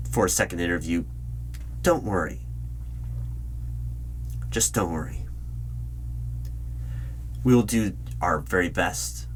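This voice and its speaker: A man speaking in an ASMR roleplay as a secretive, official hiring manager, asking formal questions in a measured, deliberate way with pauses. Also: deep voice. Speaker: roleplay video creator playing an interviewer. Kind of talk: ASMR roleplay, spoken rather than whispered. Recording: steady hum and keyboard typing noise. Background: computer keyboard typing.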